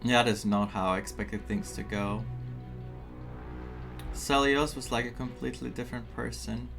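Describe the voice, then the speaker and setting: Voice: medium pitch, little pitch movement, moderate pace, gravelly, over music. A game streamer giving casual, musing reactions in murmured asides while playing through a story.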